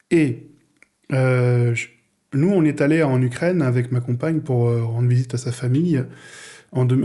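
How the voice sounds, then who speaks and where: Casting: male audio fiction creator as guest, soft mellow timbre, mid pitch, casual, searching delivery. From France. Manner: halting, repeats words while searching for phrasing. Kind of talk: casual interview talk.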